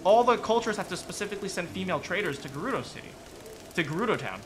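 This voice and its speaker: Lively high-voiced man rambling casually. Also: racing, noisy background. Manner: rambling, frequent restarts and "right?" tag questions. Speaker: streamer discussing game lore with chat. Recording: faint music behind.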